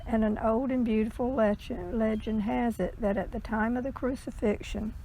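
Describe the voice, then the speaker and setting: A woman reading a legend aloud in a measured storytelling style, with the odd stumble. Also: on the high side, fairly even intonation, mellow, a little hiss or hum, poor audio.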